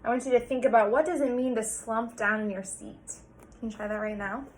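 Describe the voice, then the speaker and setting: A woman's voice: fairly high pitch, steady tone, a little fast, rough recording. A woman teacher reading a picture book aloud to children, explaining things and prompting them with questions.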